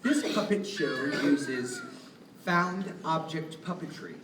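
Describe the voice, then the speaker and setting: A man's voice: on the high side, very animated, slow pace, background noise. A man, a puppeteer, playfully introducing a live show to children and putting questions to his audience. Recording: echoey room, distant mic, music behind.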